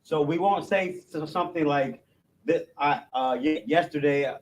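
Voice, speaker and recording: Man teaching a loose, halting class. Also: on the high side. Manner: loose and repetitive, stumbles and restarts, keeps asking "you know what I'm saying"; explains slowly, step by step. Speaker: religious language instructor. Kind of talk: informal classroom lecture.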